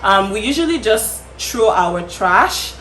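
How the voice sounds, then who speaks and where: A woman's voice: medium pitch, animated, slow pace, fairly bright tone, reverberant, a little hiss or hum, poor audio. A woman from Nigeria with a Nigerian accent, hosting a casual chat and speaking with many fillers and frequent laughter. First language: Yoruba. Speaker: host.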